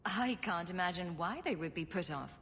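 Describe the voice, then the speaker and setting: Frank, composed adventurer, reverberant. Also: female voice, fairly low pitch, expressive, moderate pace, fairly bright tone, a little hiss or hum. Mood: wry, concerned. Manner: direct, wry. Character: frank, composed female adventurer, wryly blunt. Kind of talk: cinematic fantasy game acting.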